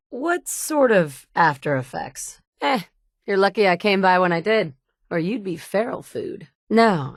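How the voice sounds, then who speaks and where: A woman's voice: on the low side, darkish tone, dry recording, clean studio sound. An actress voicing a blunt, wry woman survivor in a video game, plain-spoken and direct, by turns tender and curt. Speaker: player character. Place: recording studio.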